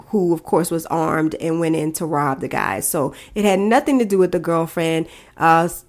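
A woman hosting her own podcast, talking frankly and chattily about the week's news while stuffed up with a head cold. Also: on the low side. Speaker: podcast host. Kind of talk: casual solo podcast commentary.

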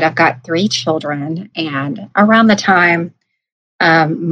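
Slow, thoughtful woman life coach. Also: fairly low pitch, a bit bright, dry recording, poor audio. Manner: reflective, hesitant with many ums, laughs easily. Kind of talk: casual interview storytelling.

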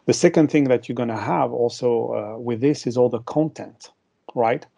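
A man's voice: medium pitch, moderate pace, vocal fry, mellow, lo-fi sound. A man with a French accent, a marketing executive answering interview questions with many hesitations and restarts.

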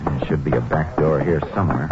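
An actor playing an undercover Texas Ranger posing as a streetwise drifter in a 1950s radio drama, with an unhurried, terse, slangy way of talking. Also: male voice, a bass voice, fairly even intonation. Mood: cool, streetwise. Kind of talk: 1950s radio western crime drama acting. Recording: dull old-time radio recording, music behind.

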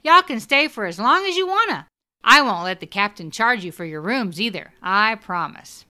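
A man's voice: high voice, very animated, normal speed, sharp tone, clear, resonant tone, voice pushed up, exaggerated voice. A man voicing a bubbly, hospitable woman, brassy and reassuring, in audiobook dialogue. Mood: warm, reassuring.

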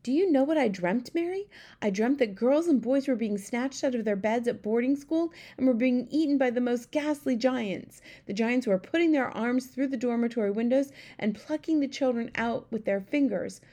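A woman's voice: fairly high pitch, moderate pace, warm tone, pitched above the performer's own voice, natural voice. A woman voicing a regal, commanding queen in a storybook, exclamatory and aristocratic in her phrasing. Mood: distressed, vivid. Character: well-known queen, regal, commanding, easily horrified older woman. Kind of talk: storybook character voice.

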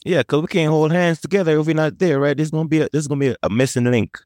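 A man's voice: a little high, very fast, darkish tone, dry recording, clean studio sound. A man co-hosting a podcast, playful and fond of jokes. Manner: joking and playful. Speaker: podcast co-host. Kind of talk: casual podcast conversation.

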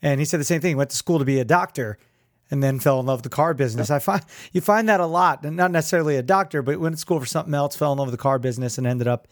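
A man's voice: fairly high pitch, very fast, no room sound, clean studio sound. A man hosting a podcast in a casual, upbeat, chatty interview style. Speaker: podcast host.

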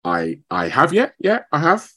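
A man, talking as a guest in a radio interview in a modest, measured, slightly halting way. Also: a little high, wildly swooping pitch, sharp tone. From London, England. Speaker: actor, radio show guest.

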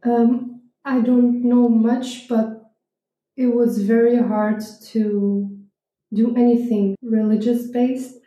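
Soft-toned woman, roomy sound. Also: fairly high pitch, little pitch movement, slow pace. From Germany. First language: German. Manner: earnest, with hesitant restarts. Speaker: interviewee. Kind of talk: personal history interview. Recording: roomy echo.

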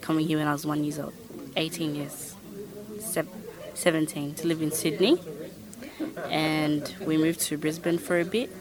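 Low, flat-voiced young woman in a crowd. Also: moderate pace, pauses often. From Sudan. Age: young adult. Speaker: new citizen. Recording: crowded room behind. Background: crowd chatter.

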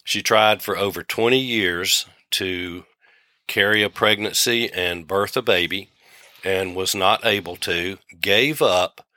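A man in his sixties co-hosting a podcast in casual, folksy conversation, his voice lowered by the tail of a cold. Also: takes it slowly, bright, forceful tone, dry recording. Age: 60s. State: getting over a cold, voice lower than usual. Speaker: podcast co-host.

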